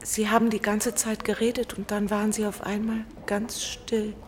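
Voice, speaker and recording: An actress playing a polite, concerned patient in a radio drama, asking tentative questions. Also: female voice, medium pitch, little pitch movement, moderate pace, slight background noise, lightly acted. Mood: anxious.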